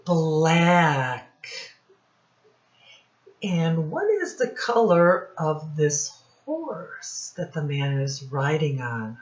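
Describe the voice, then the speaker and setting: A woman teacher speaking to young children in a singsong instructional style, with simple phrases and deliberate repetition. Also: deep voice, roomy sound. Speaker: children's teacher and read-aloud host. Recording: dull, lo-fi sound.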